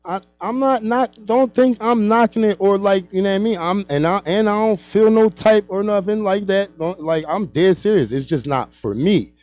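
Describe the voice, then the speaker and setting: A man's voice: sits high, moderate pace, few pauses, darkish tone. A man co-hosting a radio talk show, rambling, cursing freely and repeating himself. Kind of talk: casual chat.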